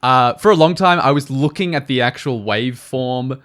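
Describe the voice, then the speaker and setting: A man's voice: mid-range voice, normal speed, fairly bright tone. A man, co-hosting a podcast and sharing practical tips in a chatty, emphatic way. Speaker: podcast co-host and audio editor.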